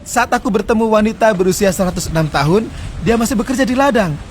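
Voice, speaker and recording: A man in his forties explaining customs in a casual interview, quick and earnest. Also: sits high, slight background noise. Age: 40s. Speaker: interview guest.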